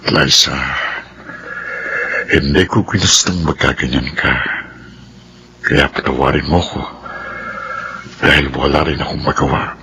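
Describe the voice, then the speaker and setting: An actor playing a gentle, helpless middle-aged father figure in a Filipino radio drama. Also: a bass voice, a little slow, long pauses, background noise. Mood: remorseful, helpless.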